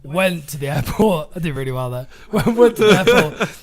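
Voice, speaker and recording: Slightly high-voiced british guy, drunk podcast chat, rambly and laughy, swears a lot. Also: lively intonation, moderate pace. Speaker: podcast co-host.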